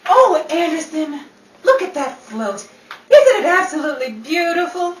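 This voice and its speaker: A woman's voice: very high voice, very animated, unhurried, heavily acted. A woman acting a dramatic, bantering character with exclamatory delivery in a stage comedy. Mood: gushing, flustered. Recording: echoey, distant stage recording with faint noise.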